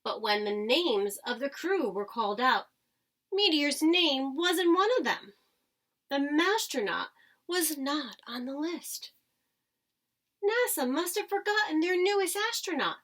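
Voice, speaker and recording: A woman's voice: sits high, expressive, a little slow, fairly bright tone. A woman reading a children's storybook aloud like a teacher, expressively, with dramatic emphasis. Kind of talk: expressive children's storybook read-aloud with short asides to listeners.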